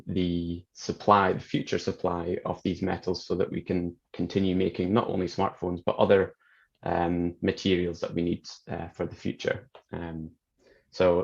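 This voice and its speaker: A young man with a Scottish accent, explaining things in an interview in a measured, plain-spoken way. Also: deep voice, little pitch movement, gravelly, lo-fi sound. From north of Scotland. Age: young adult. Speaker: chemistry researcher being interviewed.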